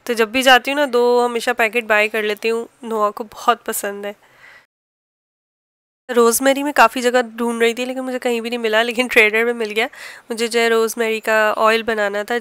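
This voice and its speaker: Pakistani lady chatting through her home vlog, really smooth clean voice, dry close sound. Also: a little high, a bit bright, dry recording. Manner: chatty, explanatory, homely everyday talk. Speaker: home cooking and family vlogger. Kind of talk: casual vlog voice-over narration.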